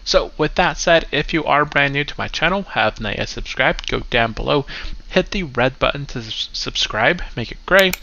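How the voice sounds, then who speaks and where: A man's voice: medium pitch, animated, moderate pace, vocal fry, pushed and bright, highs cut off, slight background noise. A man hosting a casual investing vlog, a little stuffy and congested as he walks through his portfolio in an upbeat way. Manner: upbeat, keeps saying "go ahead". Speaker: investing channel host. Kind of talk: casual finance vlog walkthrough.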